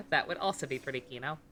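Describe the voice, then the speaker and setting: A woman live-streaming a game with casual, joking, sweary, rambling commentary. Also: sits low. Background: game music.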